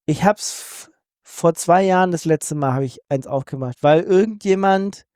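A man's voice: a little high, moderate pace, darkish tone, clean tone, no echo, clean studio sound. A man co-hosting a casual tech podcast, rambling with many fillers and restarts. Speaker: podcast co-host. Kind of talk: casual tech news chat.

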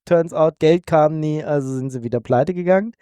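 A man's voice: on the high side, normal speed, dark tone, clean tone, no room sound. A man co-hosting a casual tech podcast, rambling with many fillers and restarts. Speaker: podcast co-host. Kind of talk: casual tech news chat.

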